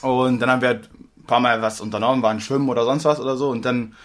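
A man's voice: medium pitch, steady tone. A young man from Berlin, a podcast guest, telling stories in a loose, slangy way while sharing one microphone with the host. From Berlin, Germany. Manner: quick, loose storytelling with slangy asides. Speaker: podcast guest, former youth footballer. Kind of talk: casual chat, storytelling. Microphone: sharing one mic with the host.

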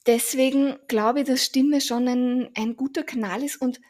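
A woman with an Austrian German dialect, a guest on a podcast, telling stories in a lively, chatty way with soft asides. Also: high-pitched, moderate pace. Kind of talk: casual interview chat.

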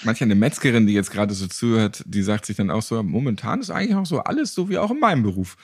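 A man's voice: fairly low pitch, big pitch swings, fast, breathy edge, no room sound, studio quality. A man moderating a conversational interview as its host.

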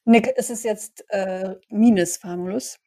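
A woman playing a cautious, polite vampire in an audio drama, with a measured, considerate way of talking. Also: medium pitch, fairly even intonation, warm tone, dry recording, clean studio sound. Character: cautious, polite female vampire, calm voice of reason. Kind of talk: hobby audio drama dialogue.

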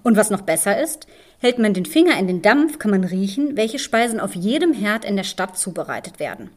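A woman hosting a podcast, narrating fairy tales in an expressive, measured storytelling manner. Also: medium pitch. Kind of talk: fairy-tale narration and discussion.

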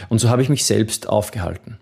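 A man talks through a viewer's question about memory techniques, his voice low and husky. He stays earnest and encouraging, with a gravelly edge and small restarts. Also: brisk, darkish tone. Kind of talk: advice video answering a viewer question.

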